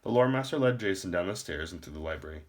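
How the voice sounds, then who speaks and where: A man's voice: low voice, lively intonation, quick pace. A man narrating a novel aloud in a storybook read-aloud style.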